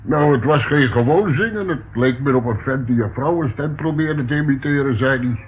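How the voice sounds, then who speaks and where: A man's voice: medium pitch, moderate pace, natural voice. An actor playing a plain-spoken, helpful working man in a radio play, with a homely, slightly hesitant manner. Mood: matter-of-fact. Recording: tinny, faintly noisy old radio recording.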